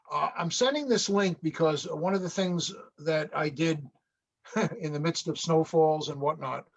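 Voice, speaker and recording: A man with a slightly high voice explains things informally to colleagues in an online meeting. The call audio is dull and lo-fi. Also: creaky.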